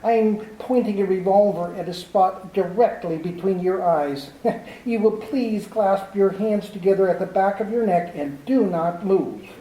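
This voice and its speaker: Woman playing a prim, fussy gentleman crook. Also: mid-range voice, a little slow, reverberant, recorded from a distance, slight background noise, natural voice, low-quality recording. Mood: threatening politely. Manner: prim, formal, hesitant and mincing. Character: fussy, overly polite male foreign gentleman crook. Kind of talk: amateur 1940s-style radio drama acting.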